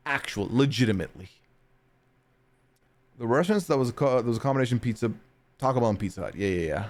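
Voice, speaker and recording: Lively, chatty male streamer. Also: medium pitch, fairly quick, long pauses. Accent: mixed, sometimes heard as British. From North America. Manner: chatty, rambling, laughs often. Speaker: streamer reading chat. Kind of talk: casual chat.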